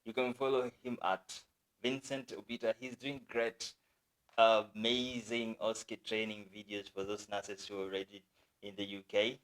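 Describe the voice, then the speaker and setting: A Kenyan man hosting a casual chat with a guest, speaking in a relaxed, halting way with restarts. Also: fairly low pitch, low-quality recording. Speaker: host.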